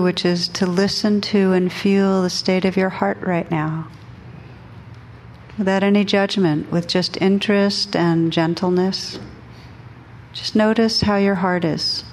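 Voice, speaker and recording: A woman meditation teacher leading a guided meditation for a group, speaking gently and unhurriedly with long pauses between phrases. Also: medium pitch, steady tone, a little hiss or hum.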